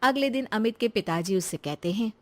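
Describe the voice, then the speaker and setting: A woman's voice: on the high side, expressive, brisk, darkish tone. A woman storyteller reading scripted narration for a cartoon tale.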